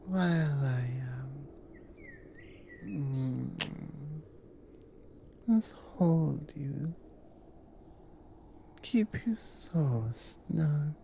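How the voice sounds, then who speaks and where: A woman voicing a tender, teasing, dominant giant Nord barbarian wife in an intimate ASMR roleplay, in a slow, breathy murmur with soft hums. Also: sits low, slight background noise, full character voice. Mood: soothing, tender.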